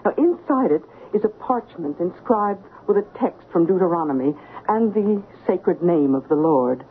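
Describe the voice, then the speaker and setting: Earnest actress as a woman, very expressive, old radio. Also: fairly low pitch, moderate pace, phone-quality sound, lightly acted. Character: sharp, earnest female household assistant, resolute. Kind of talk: 1970s radio drama acting. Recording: thin, faintly noisy 1970s radio broadcast.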